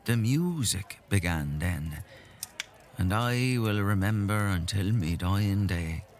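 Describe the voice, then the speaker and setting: A folksy old storyteller, played by a man in an audio drama, with a lively, swinging delivery. He is boastful yet earnest and savours every turn of his whimsical tale in a theatrical way. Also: lowish voice, slow. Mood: wonder-struck storytelling.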